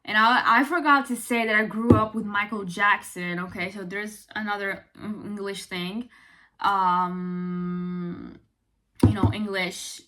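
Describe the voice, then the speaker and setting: Bright-voiced young woman. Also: mid-range voice, takes it slowly. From Italy. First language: Italian. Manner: chatty and animated, full of "like" and "you know". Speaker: vlogger. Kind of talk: casual talk to camera.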